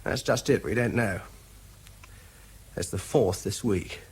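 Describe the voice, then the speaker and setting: An actor playing an earnest young sapper officer with a British accent, polite, hesitant and understated. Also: male voice, lowish voice, takes it slowly, slightly rough, exaggerated voice. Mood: grave, puzzled. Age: young adult. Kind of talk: radio drama dialogue.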